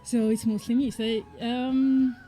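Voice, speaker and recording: A woman's voice: fairly high pitch, flat delivery, slow, dark tone, faint music behind, poor audio. A woman from Hungary, an indie game developer, chatting casually on a livestream with frequent fillers and light laughs. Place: home, living in the UK.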